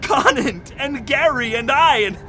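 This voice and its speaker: An actor in a radio drama playing a distraught expedition doctor who is coming unhinged with fear, halting and repeating himself. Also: male voice, very high voice, expressive, pushed and bright, slight background noise, heavily acted. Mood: hysterical. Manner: halting, repeating words, laughing hysterically.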